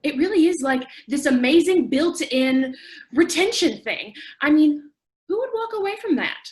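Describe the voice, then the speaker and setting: A blunt, sharp-tongued sister , played by a woman in a stage drama. Her voice is high, and she needles people casually, with no softening. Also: lively intonation, normal speed. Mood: needling. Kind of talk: staged play reading.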